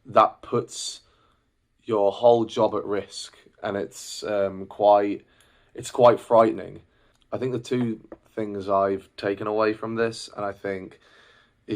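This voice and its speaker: Low-voiced young man venting. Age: 20s. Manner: reflective, rambling with restarts and fillers. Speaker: singer and vlogger. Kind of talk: casual personal vlog.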